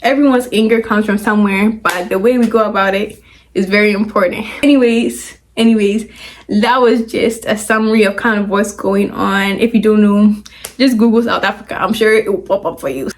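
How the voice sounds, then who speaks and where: A woman's voice: mid-range voice, steady tone, normal speed. A young woman from Ghana with a Ghanaian accent, explaining a current issue in chatty vlog commentary. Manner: chatty, acts out quoted voices. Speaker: video commentator.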